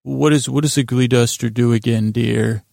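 A man acts out a grumbling homebody husband of the Tooth Fairy. He sounds creaky and dry, halting and mildly exasperated, in an improvised podcast skit. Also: mid-range voice, fairly even intonation, a little mellow, no echo, natural voice.

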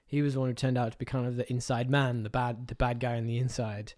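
A man's voice: mid-range voice, level tone, fast, a little mellow. A man co-hosting a podcast in casual chat, a bit nasal from a stuffy nose, who laughs easily. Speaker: podcast co-host.

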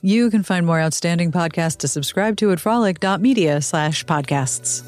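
A woman's voice: on the low side, normal speed. A woman hosting a podcast, reading outros and sponsor copy to her listeners. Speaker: podcast host. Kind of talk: podcast outro and host-read ad.

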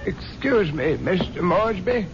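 An actor playing a formal, servile household butler, politely fretful, in a 1970s radio drama. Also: male voice, high-pitched, animated, unhurried, music in the background. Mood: anxious, polite. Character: deferential, worried household butler. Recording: muffled vintage radio broadcast, faint noise.

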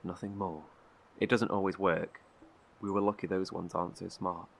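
A man's voice: sits low, flat delivery, normal speed, gravelly. An actor playing a wary, guilt-ridden male survivor in an audio drama, terse, guarded and defensive. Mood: weary, defensive.

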